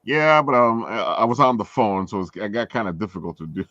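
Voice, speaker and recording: A man's voice: lowish voice, expressive, fairly quick, bright, forceful tone, highs cut off. A man hosting a casual group chat in a jokey manner, repeating words for emphasis. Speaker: show host.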